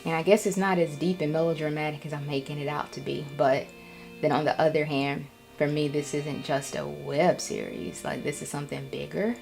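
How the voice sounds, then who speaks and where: Female vlogger, nervous and reflective, casual halting delivery with vocal fry, faint music underneath. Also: low-pitched, lively intonation, a little mellow. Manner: halting, repeats phrases for emphasis. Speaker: vlogger, writer and storyteller. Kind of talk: casual, reflective vlog talk.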